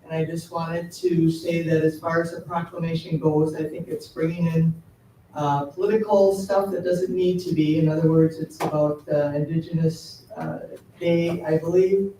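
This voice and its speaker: A man's voice: fairly high pitch, little pitch movement, normal speed, mellow, a little hiss or hum. A man giving public comment at a council meeting, plain-spoken and hesitant, with many uhs. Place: city council chamber. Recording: echoey council chamber, distant mic.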